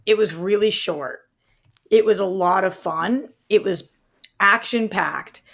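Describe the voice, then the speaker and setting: A woman's voice: mid-range voice, slow, vocal fry. A woman co-hosting a podcast, bantering casually and readily questioning her partner. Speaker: podcast co-host.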